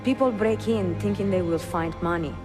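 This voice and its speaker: An actress playing a bookish, spirited young Italian woman bookseller, friendly and expressive, with an Italian accent, in a dramatic game cutscene. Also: lowish voice, moderate pace, over music, close to an everyday voice. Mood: resigned, practical.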